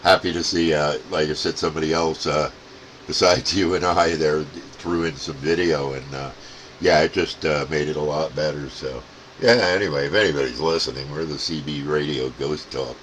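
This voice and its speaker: Male CB hobbyist, extremely deep voice, casual halting radio chat, faintly noisy transmission. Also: fairly even intonation, fairly bright tone.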